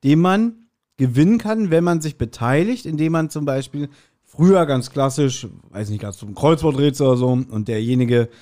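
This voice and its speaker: Joking man with a slightly higher voice. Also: lively intonation, moderate pace, dark tone. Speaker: podcast co-host. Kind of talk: casual podcast chat.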